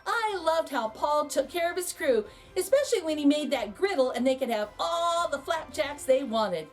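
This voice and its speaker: A woman reading a picture book aloud to children as an expressive storyteller, with exclaimed asides to her young listeners. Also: sits high, normal speed, faint music behind. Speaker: children's read-aloud host.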